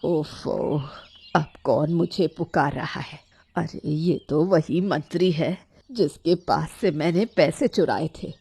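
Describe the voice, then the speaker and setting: A woman's voice: deep voice, slow pace, gravelly, darkish tone, slightly rough, dry recording, full character voice. A woman playing a sly, thieving old woman in a cartoon story, with a wheedling, complaining manner. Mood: muttering, wary. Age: older.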